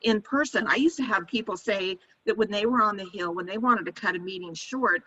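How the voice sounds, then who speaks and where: Bright-voiced woman on a muffled call. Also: medium pitch. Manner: measured, explanatory. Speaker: panelist. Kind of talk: panel discussion on video call. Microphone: video call. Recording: dull lo-fi video call audio.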